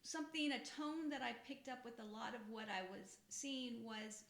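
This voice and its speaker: A woman's voice: on the high side, moderate pace, gravelly. A woman in her fifties talking casually and reflectively as a podcast host, putting her thoughts in articulate terms. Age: 50s. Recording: roomy, echoey room sound.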